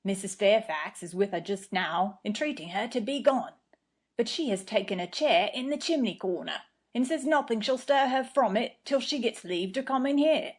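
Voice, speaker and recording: A woman's voice: on the high side, lively intonation, normal speed, husky. A woman voicing a plain-spoken footman. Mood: deferential.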